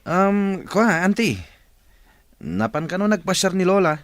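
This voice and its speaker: Obliging, higher-pitched young Ilocano grandson. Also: male voice, animated, a little slow, dry recording. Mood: respectful. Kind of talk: radio drama acting in Ilocano.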